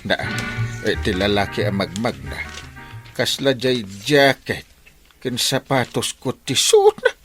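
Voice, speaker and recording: Blustering comic village captain, wildly swooping voice. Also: male voice, mid-range voice, huge pitch swings, slow pace, faint music behind. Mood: suspicious, insistent. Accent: Ilocano. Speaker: radio drama actor. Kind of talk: comedy radio drama acting.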